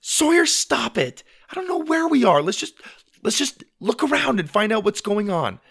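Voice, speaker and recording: A man's voice: sits high, wildly swooping pitch, moderate pace, vocal fry, a bit bright, husky, dry recording, clean studio sound, voice pushed up, heavily acted. A sensible, bossy girl from a children's storybook, played by a man. The voice is lifted well above a man's usual talk and moves a lot. She sounds cautious, scolding and insistent. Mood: flustered, insistent.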